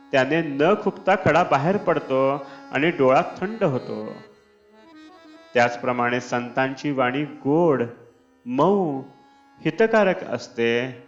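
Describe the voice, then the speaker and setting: A man reads a devotional discourse slowly and deliberately, at a medium pitch. The recording is dull and lo-fi, with Indian classical instrumental music playing behind his voice. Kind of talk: scripted devotional discourse reading.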